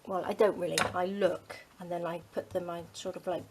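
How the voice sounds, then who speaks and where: A middle-aged Englishwoman talks casually and rambles, her lowish voice full of ums. She often sinks to a soft, near-whispered murmur while she crafts. A faint hiss sits under the recording. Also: little pitch movement, brisk. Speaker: craft channel host.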